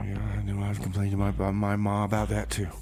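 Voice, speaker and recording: A man's voice: lowish voice, completely flat delivery, a little fast. A man hosting a casual community radio music show, chatting in a rambling, conversational way with comic bits. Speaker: radio host. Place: radio studio.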